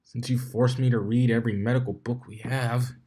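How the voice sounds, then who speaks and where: A man's voice: medium pitch, steady tone, a little fast, warm tone, breathy edge, lightly acted. A defiant young male dragon from a storybook, voiced by a man. He sounds grumpy and put-upon, answering in a huffy, defensive way. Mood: growling. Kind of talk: fan fiction reading with character voices.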